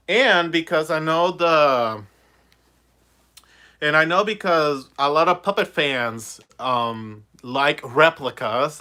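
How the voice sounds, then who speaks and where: Chatty young man with a bright voice. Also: mid-range voice. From Puerto Rico. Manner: chatty, rambling, many fillers. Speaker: puppeteer vlogger. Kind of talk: casual livestream chat. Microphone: phone on a ring light.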